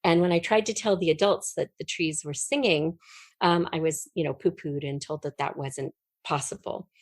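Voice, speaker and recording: Lower-pitched woman telling her story. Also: moderate pace, dry recording. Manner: expressive storyteller, acts out remembered dialogue. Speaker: interview guest, writer. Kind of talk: personal storytelling in conversation.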